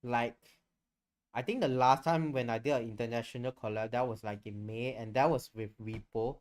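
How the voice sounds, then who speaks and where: A man's voice: mid-range voice, steady tone, moderate pace, a little mellow. A man chatting casually on a livestream, rambling and candid, swearing freely as he goes. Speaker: streamer and VTuber.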